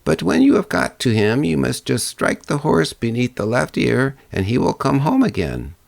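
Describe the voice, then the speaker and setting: A man's voice: medium pitch, very animated, normal speed, creaky, dry recording, pitched above the performer's own voice. A man voicing an aged, helpful fairy-tale old woman who instructs in a singsong manner. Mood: helpful, instructing.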